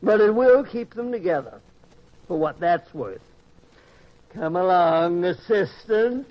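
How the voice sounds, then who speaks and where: An actor playing a world-weary old pig tender, wry and folksy, in the style of an old-time radio drama. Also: male voice, high-pitched, expressive, slow, a little hiss or hum. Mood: wry, resigned. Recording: dull, lo-fi radio-style recording.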